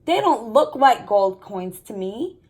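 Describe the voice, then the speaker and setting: Woman reading as an earnest, high-voiced young girl. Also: very animated, takes it slowly, a little mellow. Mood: joking.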